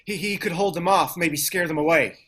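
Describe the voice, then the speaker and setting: Guy acting a blunt wry fighter type, highish bright voice, swears, table read drama. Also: brisk. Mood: dry, grim. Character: combative, wry armed male activist, foul-mouthed. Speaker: actor in a screenplay table read. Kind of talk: acted script reading.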